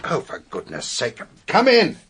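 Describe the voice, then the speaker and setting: An actor playing a businesslike, impatient police superintendent in a radio mystery, questioning formally and pointedly. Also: male voice, on the high side, huge pitch swings, unhurried, a bit bright, slight background noise, exaggerated voice. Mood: sceptical, impatient. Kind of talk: British radio mystery drama.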